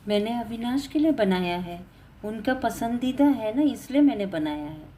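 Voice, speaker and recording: A woman's voice: on the high side, lively intonation, moderate pace, mellow, slight background noise, natural voice. A woman voicing a meek, dutiful young daughter-in-law in a dramatised story, respectful, pleading and easily hurt. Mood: apologetic, pleading.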